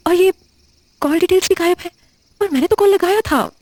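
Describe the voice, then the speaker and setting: A woman playing a confused, uneasy young widow who talks haltingly in an audio horror drama. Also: extremely high pitch, darkish tone, no room sound. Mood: baffled. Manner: hesitant, halting, muttering questions to herself.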